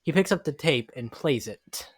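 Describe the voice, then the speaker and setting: A man's voice: a little high, animated, normal speed, breathy edge. A man narrating a scripted dramatic story, now and then stumbling over the words.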